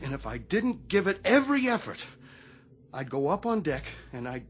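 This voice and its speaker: A haunted sailor in a radio drama, anxious and halting. His high voice rises and falls sharply as he repeats himself, with clear noise behind him. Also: very animated. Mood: agitated, confessing. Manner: halting, stammering, repeating phrases. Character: anxious, haunted male navy chief, fighting a compulsion.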